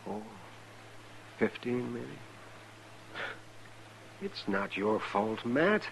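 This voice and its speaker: An American actor playing a sombre, consoling town doctor in an old radio western, gentle and measured. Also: male voice, medium pitch, very animated, gravelly, full character voice, low-quality recording. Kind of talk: old-time radio drama acting. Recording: faintly hissy old radio recording.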